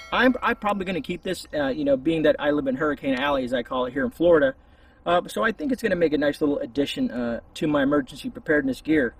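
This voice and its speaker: Male outdoor vlogger, husky and slightly high, informal meandering review delivery, recorded a bit far from the mic. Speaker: YouTube reviewer. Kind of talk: casual, rambling product review. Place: outdoors among trees. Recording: voice distant from the mic.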